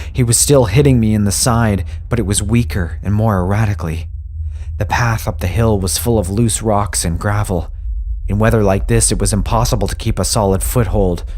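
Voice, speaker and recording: A man reading a scripted first-person horror story in a measured, grave way. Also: on the low side, a little mellow. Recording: music bed under the voice.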